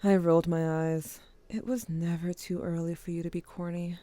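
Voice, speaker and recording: A woman's voice: lowish voice, level tone, moderate pace, mellow, no echo, close to an everyday voice. A woman acting as a young narrator recounting family memories in an intimate, wry, subdued and conversational way, in a scripted audio drama.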